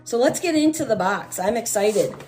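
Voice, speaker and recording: A woman's voice: a little high, expressive, moderate pace. Middle-aged American woman with a cold. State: losing voice with a chest cold. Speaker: unboxing vlog host. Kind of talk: casual product review chat. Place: small room at home, at a table. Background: music.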